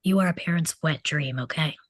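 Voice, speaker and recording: A woman's voice: low-pitched, a little fast, no echo, studio quality. A woman reading the part of a mocking, crude teenage delinquent boy at a table read of a film script. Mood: sneering. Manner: mocking, needling, insolent.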